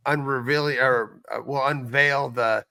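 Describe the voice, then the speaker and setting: Man, medium pitch, bright forward tone, casual co-host chat, earnest halting delivery, dry close sound. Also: steady tone, vocal fry, dry recording. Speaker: podcast creator and co-host.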